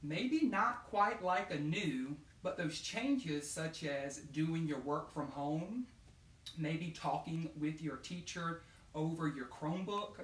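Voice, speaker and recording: A man's voice: fairly high pitch, slow pace, fairly bright tone, slight background noise. Male educator hosting a children's read-aloud, encouraging and questioning, recorded in a roomy space at some distance from the mic. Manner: encouraging, asks questions and answers them himself. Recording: roomy echo, distant microphone.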